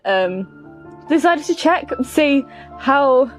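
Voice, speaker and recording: A woman's voice: sits high, animated, takes it slowly, faint music behind, poor audio. A woman giving casual, rambling, self-deprecating commentary over a game playthrough. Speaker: gaming video creator.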